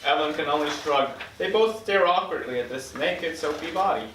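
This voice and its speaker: A man's voice: a little high, lively intonation, fairly quick. A man reading screenplay stage directions as scripted narration at a table read. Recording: echoey room from far off, noisy.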